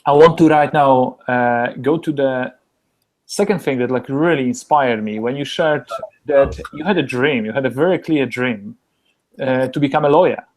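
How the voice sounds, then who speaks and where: A man's voice: mid-range voice, normal speed, a little mellow. A man interviewing a guest, encouraging him and often giving short words of approval. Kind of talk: interview conversation.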